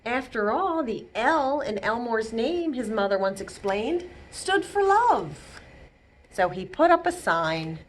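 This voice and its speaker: A woman with a fairly high, very lively voice reads a storybook aloud for young children. She is expressive and pauses for simple explanatory asides. There is noticeable noise in the recording. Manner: animated, explains words and pictures simply. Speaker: children's librarian reading at storytime.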